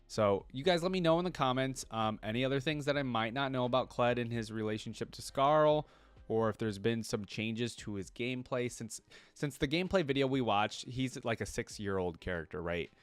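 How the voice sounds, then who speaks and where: A man's voice: medium pitch, lively intonation, brisk, clear, resonant tone, dry recording. A man hosting a reaction video, talking casually and thinking aloud in a rambling way, often checking himself with a questioning tag. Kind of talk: casual reaction commentary to camera.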